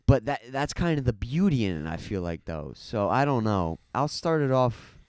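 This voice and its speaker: A man's voice: mid-range voice, big pitch swings, moderate pace, darkish tone, no echo. A young man co-hosting a podcast in casual chat, with dry, teasing humor. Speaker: podcast co-host.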